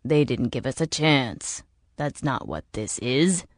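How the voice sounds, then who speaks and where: A woman voicing a bitter, world-weary older man in an audiobook, explaining grim things in a measured, emphatic way. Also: a bass voice, big pitch swings, no room sound, studio quality, pitched below the performer's own voice, exaggerated voice. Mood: seething. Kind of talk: audiobook character dialogue.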